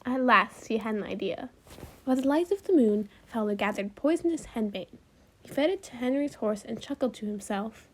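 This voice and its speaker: A woman's voice: a little high, expressive, normal speed. A woman reading scripted story narration as a student volunteer in readers theater.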